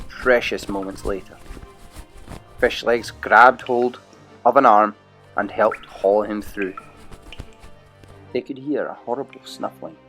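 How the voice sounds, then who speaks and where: A man's voice: fairly low pitch, a bit bright. A man narrating an adventure storybook dramatically as part of an audio play.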